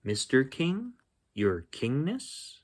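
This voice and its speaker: A man's voice: mid-range voice, huge pitch swings, slow pace, voice pushed up, heavily acted. A man voicing a friendly, naive storybook dinosaur who is curious and eager to please. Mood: polite, curious.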